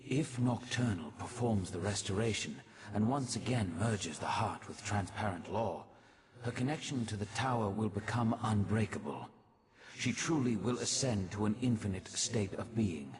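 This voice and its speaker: Man voicing a low, solemn game mage. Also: lively intonation, natural voice. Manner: formal, measured, instructive. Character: solemn, learned male mage advisor explaining arcane lore. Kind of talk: video game character dialogue.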